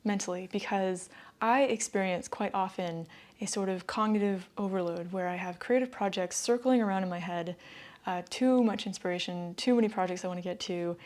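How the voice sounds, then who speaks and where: A woman's voice: mid-range voice, normal speed, a little mellow. A woman from the northeastern United States with an American accent, talking casually to camera about her sewing plans and laughing lightly between phrases. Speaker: sewing vlogger. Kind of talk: casual vlog talk to camera.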